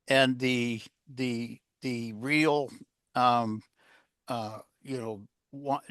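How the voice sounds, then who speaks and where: A man's voice: mid-range voice, fairly bright tone, no room sound. Man giving rambling leadership advice, dry sound. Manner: rambling, filler-heavy, poses rhetorical questions. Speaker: guest, leadership author. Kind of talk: interview answers, conversational coaching.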